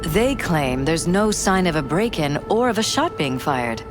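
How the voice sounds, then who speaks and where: An actress playing a poised, skeptical, weary politician's wife in a video game, composed and dryly matter-of-fact. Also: female voice, sits low, very animated, a little slow. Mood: guarded, matter-of-fact. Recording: music behind.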